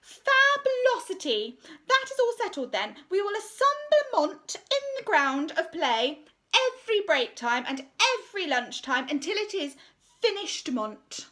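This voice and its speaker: A vain, pompous art teacher with a very high, swooping voice, as a woman puts her on. She is loud and slow, and she mangles grand words with fake French flourishes. The tone is bright and sharp. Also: very animated, full character voice. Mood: grandiose.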